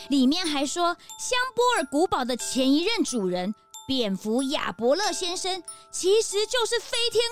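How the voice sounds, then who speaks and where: Timid cartoon sidekick, very high childlike voice, swooping intonation, whiny, superstitious, music underneath. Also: female voice. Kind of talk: children's detective audio drama.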